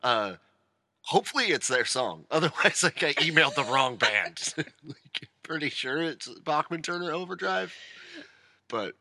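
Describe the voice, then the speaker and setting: A man's voice: on the high side, expressive, measured pace, pushed and bright, dry recording, studio quality. A man co-hosting a casual podcast, joking and rambling with self-mocking asides. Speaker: podcast co-host. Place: rural home studio.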